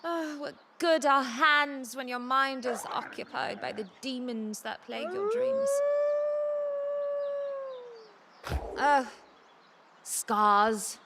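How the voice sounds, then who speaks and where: High-voiced, haunted, broken woman. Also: animated, heavy background noise, heavily acted. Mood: anguished. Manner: anguished, halting, dramatic. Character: haunted, broken daughter of a glassmaker. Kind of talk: game character dialogue.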